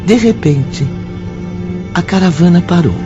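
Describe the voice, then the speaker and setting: A man playing the storyteller of a spiritual radio drama, narrating in a formal, measured way. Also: high-pitched, lively intonation. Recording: dull sound with music behind.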